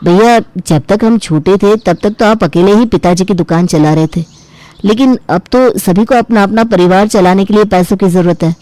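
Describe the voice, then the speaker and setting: Woman acting as self-interested younger brother, medium pitch, complaining, argumentative, dry, cartoon family story. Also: normal speed, mellow, no room sound, lightly acted, poor audio. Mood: demanding. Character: self-interested younger brother demanding his inheritance share. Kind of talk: acted dialogue in a Hindi cartoon family story.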